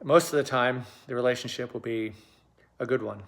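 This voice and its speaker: A man with a mid-range voice hosting an analysis. He speaks in a measured, explanatory way, pausing to put weight on his points. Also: medium pitch, gravelly, a bit bright. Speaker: host and aviation commentator. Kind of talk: explanatory commentary.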